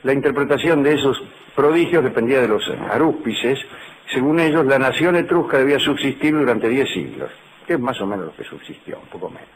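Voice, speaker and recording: A man's voice: mid-range voice, expressive, a little fast, a little hiss or hum, poor audio. A middle-aged man with a Rioplatense Spanish accent, hosting a radio show as a humorous lecture with dry, digressive asides. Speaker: radio host.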